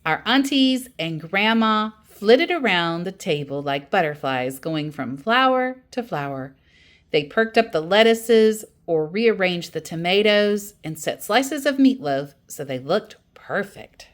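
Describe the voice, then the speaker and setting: Slow, lively woman storyteller. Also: medium pitch. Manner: acts out quoted dialogue expressively. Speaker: storyteller reading a children's book aloud. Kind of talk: animated read-aloud storytelling.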